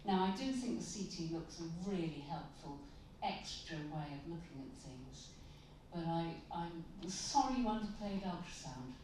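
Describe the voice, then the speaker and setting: A woman's voice: on the low side, expressive, a little slow, background noise. A woman radiologist putting a pointed, critical question from the audience at a conference. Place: large conference hall. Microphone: handheld mic passed around the audience. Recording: echoey hall, distant mic.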